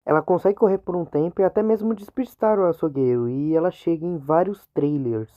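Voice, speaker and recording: A man with a Brazilian accent narrating a horror game explainer video in an informal, conversational way. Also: on the high side. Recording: muffled, narrow phone-like sound.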